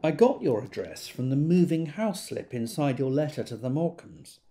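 A man's voice: on the high side, sing-song, normal speed, warm tone, a little hiss or hum. A man playing a polite, formal correspondent voicing a letter in an audio drama.

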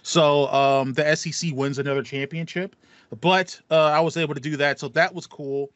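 A man's voice: fairly high pitch, fairly even intonation, moderate pace, a bit bright, no room sound. An American man in his thirties chatting casually about games as a podcast co-host. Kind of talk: casual gaming chat. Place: small home room with acoustic foam. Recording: slightly dull, band-limited, dry sound.

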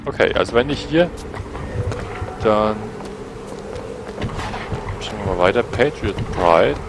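Man with a cold, low voice, noisy headset — gameplay host. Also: lively intonation, takes it slowly. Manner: rambling, self-correcting. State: has a cold. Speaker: let's play host. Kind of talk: gameplay commentary.

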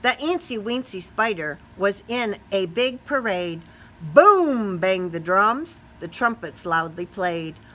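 A middle-aged woman reads a picture book to small children. Her voice is bright and very animated, with a sing-song lilt. There is faint noise behind her. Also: medium pitch, slow pace. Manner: animated, sing-song, asks questions of young listeners. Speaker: teacher reading aloud to young children. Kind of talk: expressive children's storybook read-aloud. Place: small classroom or office, indoors.

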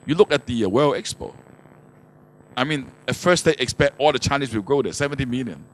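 A man's voice: mid-range voice, expressive, brisk. An older man speaking as a business panelist on a handheld microphone, explaining in non-native English phrasing with grammatical slips. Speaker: manufacturer speaking as conference panelist. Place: conference stage, indoors, before an audience. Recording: noisy handheld mic in conference hall.

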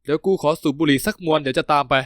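A tall, rich young boss talks bluntly and crudely, cocky and teasing, as a man reads him. The voice sits fairly high for a man and is recorded close and dry, like a character in a read-aloud novel. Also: little pitch movement, rapid-fire, no echo, lightly acted.

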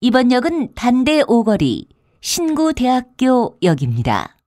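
A woman delivers scripted subway announcements. Her voice is fairly high, with very lively intonation. She is formal and polite, enunciating carefully in a very dry recording. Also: measured pace, dry recording.

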